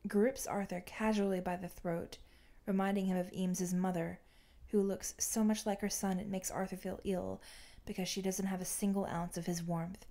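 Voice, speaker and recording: A woman's voice: mid-range voice, fairly even intonation, moderate pace, few pauses, dark tone. A woman reading fan fiction aloud as scripted audiobook-style narration.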